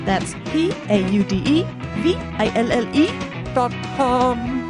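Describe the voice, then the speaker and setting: A woman's voice: on the high side, lively intonation, slow, loud music behind the voice. A woman reading a scripted family variety show promo as co-host. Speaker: podcast co-host.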